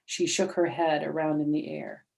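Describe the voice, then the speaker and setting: A woman reading a children's storybook aloud in an animated storytelling style. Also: low-pitched, normal speed, vocal fry, rough recording. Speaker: storybook reader.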